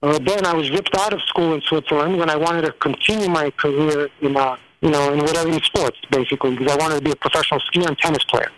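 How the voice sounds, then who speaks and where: A man's voice: fairly high pitch, quick pace, hardly any pauses, fairly bright tone, low-quality recording. Rambling New man. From New York. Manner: rambling, many fillers and restarts. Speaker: guest. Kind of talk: casual storytelling interview.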